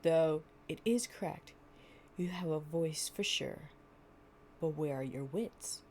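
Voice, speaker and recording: A woman voicing a sly male fox who flatters sweetly in a storybook fable. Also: low-pitched, slow pace, a little hiss or hum. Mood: smug.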